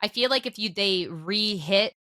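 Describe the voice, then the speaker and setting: A woman co-hosting a podcast, chatting casually with a bright, forceful edge to her voice. Her sentences wander, trail off and restart. The recording is dry and close. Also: medium pitch, normal speed, no echo. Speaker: podcast co-host. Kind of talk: casual chat.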